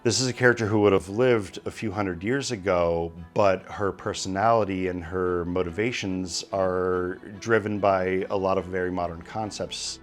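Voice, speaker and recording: A man's voice: low voice, fairly even intonation, normal speed, few pauses, soft background music. A man giving promotional interview commentary as an audio drama writer.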